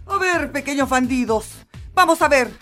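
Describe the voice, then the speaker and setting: High, shrill wicked villainess. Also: female voice, animated, moderate pace, a bit bright. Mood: bossy. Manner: menacing, gloating, cackling. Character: cruel, wicked, bullying old mother, worst woman in the world. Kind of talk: radio play acting. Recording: music behind the voice.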